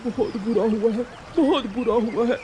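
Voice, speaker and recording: A man's voice: extremely high pitch, moderate pace, noisy background. A man voicing an emotional, protective farmer in a children's cartoon story, speaking emphatically. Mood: alarmed. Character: simple emotional male farmer, protective husband.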